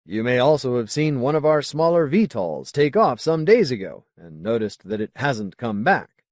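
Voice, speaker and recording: A man voicing a solemn, zealous colony leader in an audiobook, speaking in a formal, deliberate, oratorical way. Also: mid-range voice, sing-song, a little mellow, no room sound, studio quality, voice pushed up, natural voice. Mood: explanatory, firm. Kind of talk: audiobook character dialogue.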